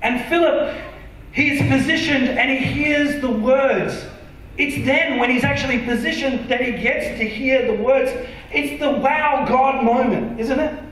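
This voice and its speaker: An Australian man preaching a sermon from a church stage, earnest and emphatic, sometimes dropping to a soft, intimate tone. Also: extremely high pitch. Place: large dark church auditorium stage. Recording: very echoey hall from far off.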